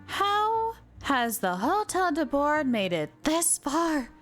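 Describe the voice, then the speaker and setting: A woman's voice: high voice, sing-song, slow, a bit bright. A woman game streamer chatting casually, thinking aloud as she reads and answers viewer comments. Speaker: game livestreamer. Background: faint game music.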